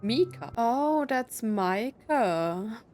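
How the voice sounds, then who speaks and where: A woman's voice: on the high side, lively intonation, very slow, darkish tone, faint music behind. A woman giving casual reaction commentary while streaming a game.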